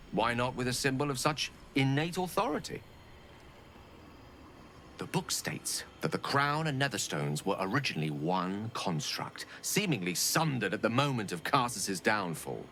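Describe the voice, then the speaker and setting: An actor playing an eloquent, refined wizard in a video game, speaking articulately and theatrically. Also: male voice, medium pitch, sharp tone, a little hiss or hum, exaggerated voice.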